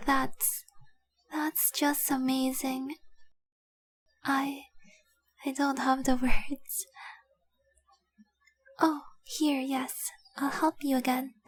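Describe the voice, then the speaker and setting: A woman voicing a tender, possessive young dragon girl in love, speaking softly and breathily in an intimate roleplay audio drama. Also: sits high, full character voice. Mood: awed, moved. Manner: soft, breathy, lingering, adoring delivery.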